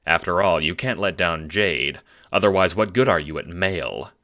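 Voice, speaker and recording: A man narrating a scripted audio drama in a measured, dramatic storytelling manner. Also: deep voice, a bit bright.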